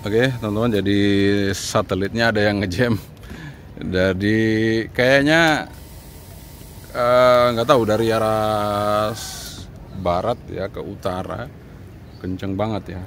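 A man's voice: fairly low pitch, steady tone, slow, long pauses, a bit bright. Middle-aged Indonesian man, noisy outdoor recording. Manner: repeats call signs in clipped phonetic spelling. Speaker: amateur radio operator. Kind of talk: radio contact calls and casual commentary. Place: open rooftop outdoors. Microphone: camera a short distance away.

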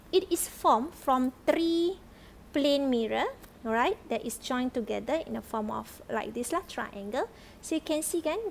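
A woman's voice: sits high, vocal fry, a little hiss or hum. A woman in her thirties with a Malaysian accent, teaching a science lesson in a chatty way and often checking in with "alright?". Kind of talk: online classroom lesson mixing Malay and English. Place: school staffroom, indoors.

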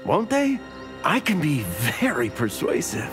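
An actor voicing a narcissistic, menacing caped superhero in a video game, with mocking, condescending sarcasm. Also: male voice, a little high, huge pitch swings, a little slow, over music. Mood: arrogant. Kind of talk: video game fight taunts.

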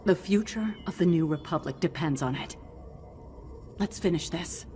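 A woman playing a grave, resolute alien general who briefs pilots in a measured, commanding way in a video game cutscene. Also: fairly low pitch, warm tone, soft background music, close to an everyday voice. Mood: urgent, resolute.

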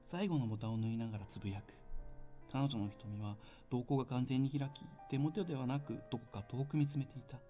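A man playing an uneasy teenage boy, giving measured first-person narration in an audio drama. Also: medium pitch, dark tone, soft background music, voice pushed down, lightly acted. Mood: subdued, uneasy.

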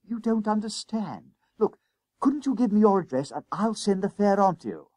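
A man voicing an anxious, earnest, excitable schoolboy who stammers and pleads in an audiobook story. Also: sits high, normal speed, slightly rough, no room sound, pitched above the performer's own voice, heavily acted. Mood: flustered, desperate.

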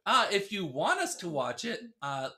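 A man co-hosting a podcast, chatting casually with a hesitant, halting delivery. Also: high voice, huge pitch swings, over a noisy crowd. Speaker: podcast co-host. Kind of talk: casual chat. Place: small furnished room at home, indoors. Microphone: podcast microphone on a stand.

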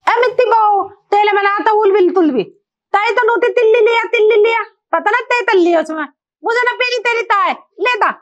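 A woman's voice: very high-pitched, moderate pace, lo-fi sound. A woman playing a cranky old mother-in-law who talks with a heavy childlike lisp in a comedy skit. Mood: nagging. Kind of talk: comic skit acting.

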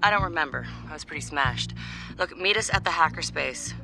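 A woman's voice: low voice, lively intonation, brisk, gravelly, music in the background, poor audio. A woman playing a cool young hacker in a game, with a dry, teasing manner. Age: young adult. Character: cool, wry young female hacker Sitara. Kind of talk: video game cutscene acting.

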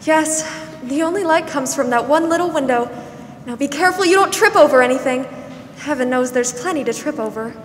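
A young woman playing a warm, pleasant, fussing woman of sixty in a 1940s-style radio drama on stage. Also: high-pitched, expressive, brisk. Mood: fussing, cautious. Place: large theatre stage, indoors. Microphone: stand microphone, masked. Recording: echoey stage hall, faint noise.

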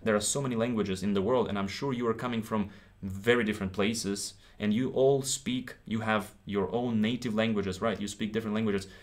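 A man's voice: on the low side, steady tone, brisk. Ukrainian-accented man teaching English live. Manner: encouraging, repeats himself, checks in with "okay?". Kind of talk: live-streamed English lesson. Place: small room indoors.

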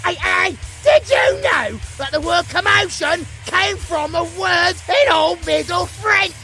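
A very high, piercing voice tears out over music: a British vampire-werewolf mutant, gleefully unhinged. He shouts and rambles in theatrical audio-drama bursts, bright and forceful. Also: lively intonation, takes it slowly, creaky, exaggerated voice. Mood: excited, rambling. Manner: shouting, rambling, cackling, fourth-wall-breaking trivia patter. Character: manic chaotic male undead vampire-werewolf half-breed, gleefully unhinged.

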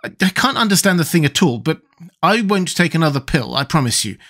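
A man playing a plucky, blunt, excitable young boy in a storybook. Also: fairly high pitch, brisk, pitched above the performer's own voice, full character voice. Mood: sulky, annoyed. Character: plucky, impatient young boy, easily sulky.

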